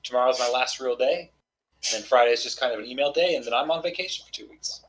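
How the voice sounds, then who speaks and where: A man in casual chat while gaming online, his voice a bit hoarse, who often clears his throat and rambles in a self-deprecating way. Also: medium pitch, quick pace, a bit bright, poor audio. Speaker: gamer.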